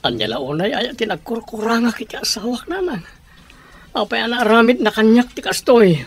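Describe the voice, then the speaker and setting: A man's voice: very high voice, big pitch swings, normal speed, a little hiss or hum, heavily acted. An actor playing a heartbroken middle-aged father in an Ilocano radio drama, speaking emotionally and pleadingly with an Ilocano accent. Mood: bitter, hurt.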